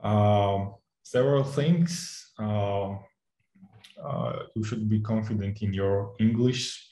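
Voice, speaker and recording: A man's voice: fairly low pitch, slow pace, dark tone, roomy sound, low-quality recording. A young adult man from Georgia with a Georgian accent, an instructor answering interview questions in English, hesitant, with many fillers and restarts, laughing often.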